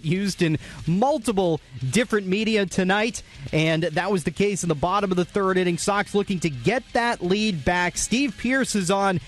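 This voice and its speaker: A man with an American accent and a high-pitched voice narrates a sports recap. He moves through the game's highlights as a radio host.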